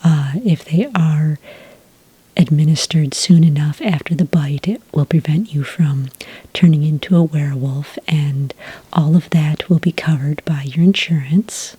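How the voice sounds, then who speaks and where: A woman playing a friendly, patient licensing clerk in a soft, breathy ASMR roleplay, speaking gently and politely close to the microphone. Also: sits low, steady tone, no echo, full character voice, poor audio. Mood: calm, polite. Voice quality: breathy, soft, near-whisper. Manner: gentle, unhurried, politely official. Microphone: close, dry microphone.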